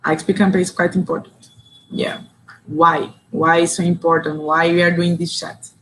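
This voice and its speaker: A woman's voice: fairly low pitch, flat delivery, moderate pace, fairly bright tone, a little hiss or hum, rough recording. Brazilian woman leading an online workshop. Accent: Brazilian Portuguese. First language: Portuguese. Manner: reads chat replies aloud, short praising interjections. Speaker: session facilitator.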